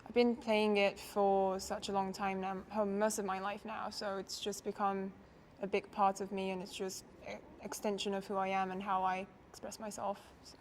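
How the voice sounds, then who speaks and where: A young woman from Japan talks through her answers in a relaxed, reflective way, often pausing with fillers and small laughs. Her voice sits at an average pitch for a woman. A faint background noise runs under the recording. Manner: thoughtful, casual, laughs often, hesitant fillers. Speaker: musician interviewee.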